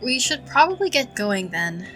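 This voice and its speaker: A woman's voice: fairly high pitch, very animated, normal speed, pushed and bright, faint music behind, rough recording. A woman giving casual playthrough commentary on a video game, sometimes reading choices aloud. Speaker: gaming content creator.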